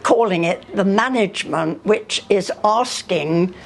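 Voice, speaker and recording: A woman's voice: mid-range voice, a little slow, vocal fry. An older woman speaking unscripted at a live talk, hesitant and often searching for her words. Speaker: speaker at a public conversation. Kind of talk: unscripted talk answering questions.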